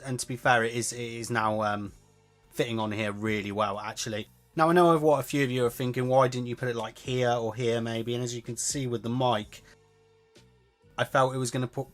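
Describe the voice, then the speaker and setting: A man's voice: medium pitch, fairly bright tone. A young man with a cold and a nasal voice, chatting casually through a product review at his home desk, rambling with plenty of fillers and restarts. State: has a cold, sounds nasal. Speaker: tech reviewer. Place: home desk setup beside a busy street.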